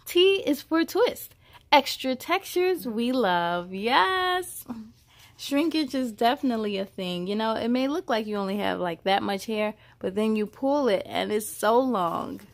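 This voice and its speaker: Lady reading a kids picture book, kinda high voice, really slow, affirming, chats to the little ones. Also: animated. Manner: encouraging, affirming, addresses young listeners directly. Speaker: host reading aloud for children. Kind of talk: children's picture book read-aloud with casual comments.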